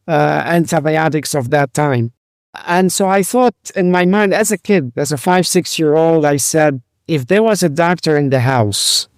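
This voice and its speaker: A man in his sixties from Lebanon, a rabbi and physician, telling his life story in an interview, speaking haltingly with many fillers and restarts. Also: fairly high pitch, a little mellow, clean tone, no echo, clean studio sound.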